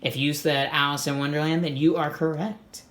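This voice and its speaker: Someone reading a kids storybook out loud, real expressive, stops to explain stuff and ask questions. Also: medium pitch, normal speed. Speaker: storybook reader. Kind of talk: animated read-aloud with comments to children.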